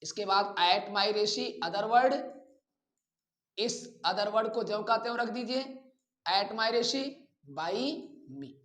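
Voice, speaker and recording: Male Indian grammar teacher, unhurried and deliberate, instructing students step by step, roomy echo on the recording. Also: high voice, fairly bright tone. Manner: deliberate, repeats phrases, addresses students directly. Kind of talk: instructional lesson, explaining step by step. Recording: echoey room.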